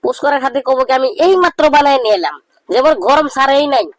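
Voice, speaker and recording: High-pitched boastful cartoon village lad acted by a man. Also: animated, quick pace, dry recording. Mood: mocking, emphatic. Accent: rural Bangladeshi. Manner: bragging, teasing, declamatory. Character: boastful cheeky young village man, know-it-all joker. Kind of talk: comedy cartoon dialogue.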